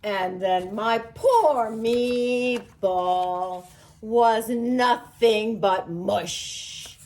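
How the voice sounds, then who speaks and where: A woman's voice: a little high, very slow, darkish tone. A woman co-hosting children's story time, talking and singing playfully for toddlers. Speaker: children's show co-host and story reader.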